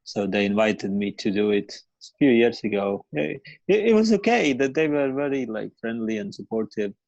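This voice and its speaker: A man's voice: mid-range voice, sing-song, moderate pace, creaky, darkish tone, no room sound. A man, by birth, talks as a guest comedian in a casual podcast chat. He hesitates and restarts often, his sentences trailing off into fillers. The sound is close and dry, a little dull in tone. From Latvia. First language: Latvian.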